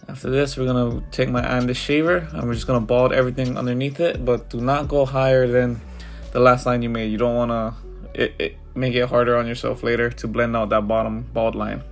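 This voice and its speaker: A man's voice: medium pitch, creaky. Congested man narrating a barber tutorial. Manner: casual, loose, filler-heavy walkthrough. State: congested from a cold.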